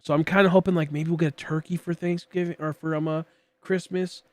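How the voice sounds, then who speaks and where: A man in his late twenties chats loosely with his viewers and a friend during a game stream, wandering between topics and poking fun at himself. His voice sits a touch high for a man. The sound is close and dry, with no room around it. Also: flat delivery, a little fast, no room sound. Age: 20s. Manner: rambling, self-deprecating, chatting with viewers and a friend. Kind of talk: casual livestream chat while gaming.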